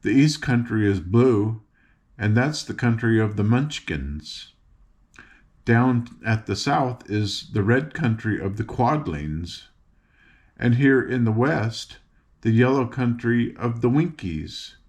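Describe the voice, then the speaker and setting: A man's voice: medium pitch, expressive, takes it slowly, darkish tone. An older man voicing a cheerful young farm girl who patiently explains things as she guides her friends. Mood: chatty.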